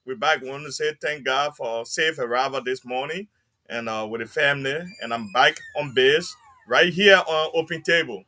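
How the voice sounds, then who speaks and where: A man's voice: medium pitch, normal speed, bright, forceful tone. A man who co-hosts a community talk show, talking in an upbeat, chatty way. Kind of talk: casual talk show chat.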